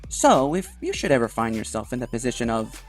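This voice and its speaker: A man's voice: mid-range voice, very animated, brisk. A man delivering scripted opinion commentary straight to the viewer in an earnest, emphatic way. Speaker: video commentator. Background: background music.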